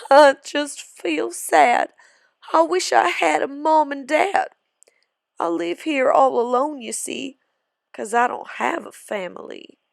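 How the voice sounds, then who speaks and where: Casting: lonely, plaintive small cowboy boy for a storybook, played by a woman, tearful, pitched up. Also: slow pace, creaky, no room sound, clean studio sound, heavily acted. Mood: sobbing sadness. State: sobbing.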